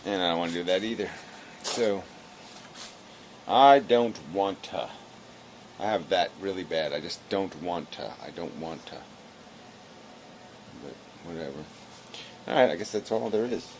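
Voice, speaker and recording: A man rambling casually in a weary, low-energy, self-deprecating way, halting and swearing as he talks to camera. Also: a little hiss or hum. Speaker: video blogger.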